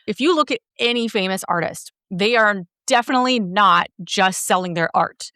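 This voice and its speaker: Middle-aged woman coach, chatty and encouraging, dry close sound, mid pitch. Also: expressive, normal speed, bright, forceful tone, no room sound. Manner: talkative, encouraging, explains with examples. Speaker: business coach and host. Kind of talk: coaching conversation.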